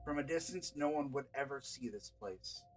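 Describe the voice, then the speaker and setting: A man's voice: medium pitch, animated, normal speed, soft background music, low-quality recording. A man reading scripted fantasy narration aloud as a game master and storyteller.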